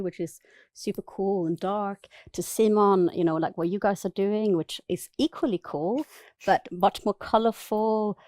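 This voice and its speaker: A woman's voice: mid-range voice, dark tone, no room sound, studio quality. A woman from Sweden speaking as a retail buyer on a panel, enthusiastic and chatty with many fillers. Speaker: buying director, panelist. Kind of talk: panel discussion.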